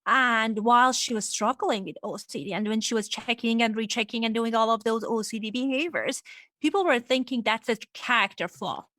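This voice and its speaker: A woman's voice: fairly high pitch, moderate pace, fairly bright tone, dry recording. A woman from Iran speaking as a psychologist guest, explaining things reflectively in an interview. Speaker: guest, clinical psychologist and sex therapist.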